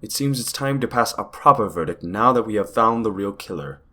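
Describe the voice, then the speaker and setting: A man's voice: fairly low pitch, lively intonation, fairly quick, pushed and bright. A man playing a solemn, authoritative judge of a spirit court, proclaiming formally in an audio drama. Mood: solemn, commanding.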